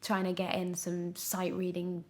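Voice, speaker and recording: A young woman chatting casually as an interview guest, telling her story in an animated, expressive way. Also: fairly low pitch, a little slow. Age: young adult. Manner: animated storytelling, acts out her own past thoughts. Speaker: podcast guest, radio drama actor.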